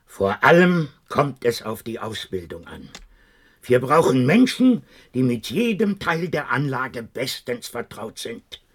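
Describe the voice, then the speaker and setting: An actor playing a stern president giving an official address in a German science fiction radio drama, with a hoarse edge to the voice. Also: male voice, medium pitch, very animated, a little slow.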